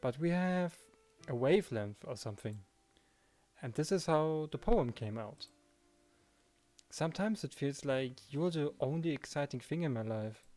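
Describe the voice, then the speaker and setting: A man's voice: a little high, lively intonation, a little slow, mellow, soft background music. A man voicing an earnest, protective teenage boy who narrates and speaks as the protagonist of a visual novel. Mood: earnest, concerned.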